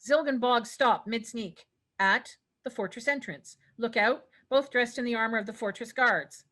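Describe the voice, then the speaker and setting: A woman with a fairly high voice reads stage directions for a table read. It is scripted narration, with the occasional stumble over a word. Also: normal speed, a bit bright.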